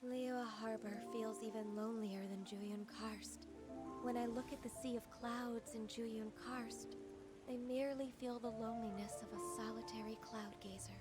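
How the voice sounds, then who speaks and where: Game role: actress as reserved, melancholy young half-human woman, formal and soft-mannered, higher voice, heavy music bed. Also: fairly even intonation, natural voice. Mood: lonely, wistful. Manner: formal, measured, earnest. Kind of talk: scripted video game voice acting. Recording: loud game music behind.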